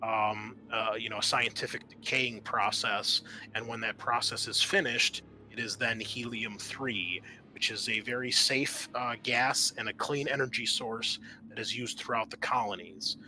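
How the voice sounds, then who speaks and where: A man's voice: mid-range voice, steady tone, measured pace, bright, forceful tone, slight background noise, rough recording. A man speaking as host and game master, narrating and explaining a tabletop roleplaying game.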